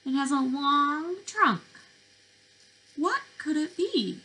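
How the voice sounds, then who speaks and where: A young adult woman reading interactively to small children as a teacher, expressive and sing-song, with questions and pauses. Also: high-pitched. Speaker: speech-language pathologist presenting to preschoolers. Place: bedroom, indoors.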